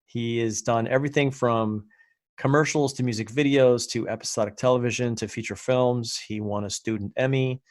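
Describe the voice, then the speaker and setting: A man hosting a podcast, introducing and questioning his guest in a friendly, halting way full of fillers. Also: medium pitch, steady tone, dry recording, clean studio sound. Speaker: podcast host. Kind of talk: casual interview hosting.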